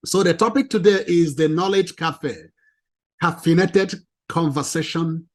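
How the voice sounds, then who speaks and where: A man with a non-native English accent giving an animated, emphatic presentation to a professional audience. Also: fairly high pitch, unhurried. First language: not English. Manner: emphatic, lively, addresses ladies and gentlemen.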